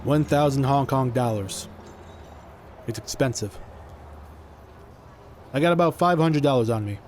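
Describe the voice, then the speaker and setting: A man's voice: medium pitch, a little slow, darkish tone, a little hiss or hum, close to an everyday voice. A man playing a confident, gallant conjurer in an audio drama, theatrical and commanding. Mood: calm, helpful. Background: music.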